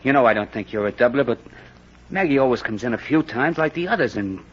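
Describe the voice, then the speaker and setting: An actor playing a shifty, henpecked crooked husband in an old-time radio drama, evasive and prone to stammering. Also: male voice, mid-range voice, animated, quick pace, lightly acted. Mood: defensive pleading. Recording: dull old radio recording, faint noise.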